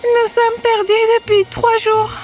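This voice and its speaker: Very high, childlike little girl played by a woman. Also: little pitch movement, measured pace, over music. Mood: worried. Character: anxious young girl lost in the forest. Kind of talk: fairy tale cartoon voice acting.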